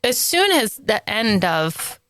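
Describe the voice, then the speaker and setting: A woman's voice: on the high side, sing-song, slow pace, fairly bright tone, no echo. A woman co-hosting a podcast, chatting in an upbeat, emphatic way and making firm declarations. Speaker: podcast co-host. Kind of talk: casual chat.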